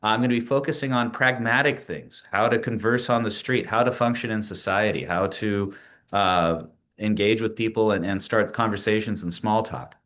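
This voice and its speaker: A man in his forties, a PhD student, walking through a slide presentation of his learning plan in a measured way, with frequent pauses and restarts. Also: lowish voice, a bit bright. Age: 40s. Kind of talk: presentation narration over screen share.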